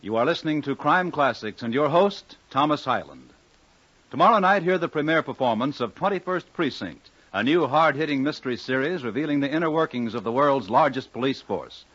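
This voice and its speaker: Male American announcer, 1950s radio style, expressive medium-pitched read, dull vintage recording. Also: brisk.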